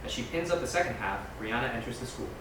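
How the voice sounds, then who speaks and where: Brisk man reading, in a very echoey room. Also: medium pitch, lively intonation. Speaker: stage directions reader. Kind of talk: reading screenplay directions aloud. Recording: very echoey room, distant mic, noisy.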